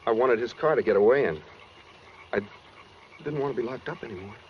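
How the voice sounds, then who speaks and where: An American man playing a brooding, coldly calm escaped killer in a 1940s radio suspense drama, speaking matter-of-factly with quiet menace. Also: medium pitch, brisk, lightly acted. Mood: quietly menacing. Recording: dull 1940s radio recording with noise.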